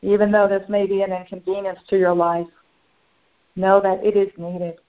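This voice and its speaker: A serene, benevolent ascended master, channeled by a woman leads a guided meditation. The phrases are short and unhurried, parted by long pauses, in a mid-pitched voice. The recording is dull and lo-fi. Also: level tone, natural voice. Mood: serene, reverent. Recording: dull lo-fi sound.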